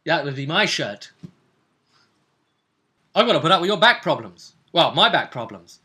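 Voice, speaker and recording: A man hosting a game recap show as game master, chatting in an excitable, theatrical and playful way. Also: a little high, moderate pace. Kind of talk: animated chat recapping a tabletop role-playing game.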